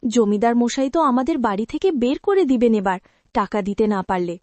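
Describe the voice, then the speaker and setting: A woman's voice: a little high, lively intonation, brisk, a little mellow, no room sound, natural voice. A woman playing a devoted, resolute young village daughter in a cartoon, earnest. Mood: worried. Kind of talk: cartoon voice acting.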